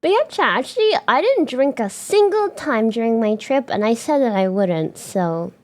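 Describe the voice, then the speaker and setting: A young woman streamer chatting casually to her viewers, rambling with fillers and restarts and bursts of bubbly excitement. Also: a little high, normal speed.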